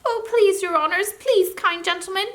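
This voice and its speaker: A woman squeaking as a frantic little gnome. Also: normal speed, fairly bright tone. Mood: terrified pleading. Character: tiny terrified male gnome, squealing and pleading.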